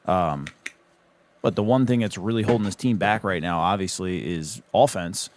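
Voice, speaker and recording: A man's voice: sits low, normal speed. A man co-hosting a casual sports talk show, blunt and wry. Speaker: sports podcast co-host.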